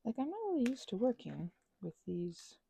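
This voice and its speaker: A woman rambling playfully in casual ASMR craft chat, scatterbrained and often interrupting herself, in a husky, breathy voice that often drops toward a whisper. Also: fairly high pitch, very animated, moderate pace, mellow, a little hiss or hum. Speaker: ASMR creator.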